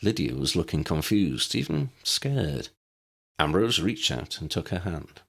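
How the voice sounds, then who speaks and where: A man reading his own story aloud as an audiobook narrator, with an expressive storytelling manner. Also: low voice, a little slow, vocal fry, husky.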